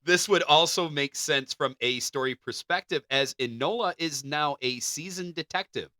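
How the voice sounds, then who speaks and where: A man's voice: fairly high pitch, moderate pace, sharp tone, dry recording, studio quality. A man co-hosting a podcast, moving between reading entertainment news and casual banter. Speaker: podcast co-host.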